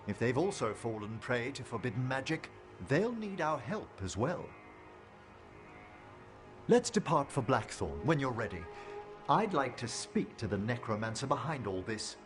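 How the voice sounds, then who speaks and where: Game role: scholarly necromancer gentleman, formal and earnest, very varied intonation, music underneath. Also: mid-range voice. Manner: formal, eloquent, careful wording. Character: refined, earnest scholarly male necromancer professor. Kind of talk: video game dialogue acting. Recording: music behind the voice.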